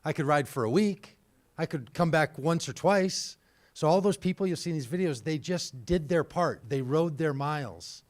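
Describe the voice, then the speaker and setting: A man's voice: a little high, normal speed. A man hosting a live event, talking casually and quizzing the audience. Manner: energetic, conversational, quizzing the audience. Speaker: host. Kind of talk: live event talk with trivia. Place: indoor event venue.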